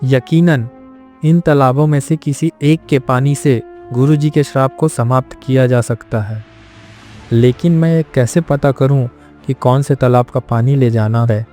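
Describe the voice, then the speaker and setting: A man voicing a brave, polite hero with an earnest, deliberate manner in a cartoon moral story. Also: medium pitch, steady tone, dark tone, faint music behind, natural voice. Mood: pondering. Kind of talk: animated moral story acting.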